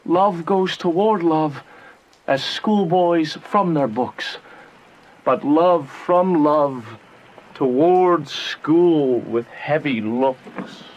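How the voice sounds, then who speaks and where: A man's voice: fairly high pitch, extremely slow, a little mellow, muffled recording. An actor playing an ardent, lovestruck young nobleman in a Shakespearean radio drama, speaking verse in a romantic, poetic way. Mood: tender, lovestruck.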